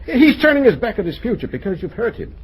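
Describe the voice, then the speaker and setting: An actor playing an earnest, gently reproachful middle-aged father in an old-time radio drama. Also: high-pitched, big pitch swings, fast talker, breathy edge, full character voice, poor audio.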